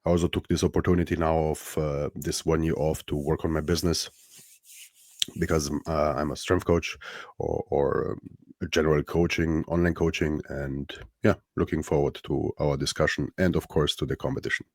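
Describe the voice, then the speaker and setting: A man in his thirties from Romania with a Romanian accent, speaking as a podcast guest in a thoughtful, halting way with many fillers and restarts. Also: extremely low pitch, little pitch movement, dry recording, studio quality. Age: 30s. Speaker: podcast guest, strongman athlete. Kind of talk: casual interview chat.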